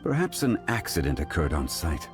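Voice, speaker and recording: An actor voicing a calm, dignified and learned gentleman in a video game, speaking in a measured, formal way. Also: extremely low pitch, music in the background, heavily acted. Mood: composed.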